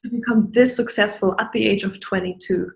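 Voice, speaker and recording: Interviewer, woman, mid pitch, direct questioning, roomy echo on her voice. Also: steady tone, quick pace, vocal fry. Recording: echoey room.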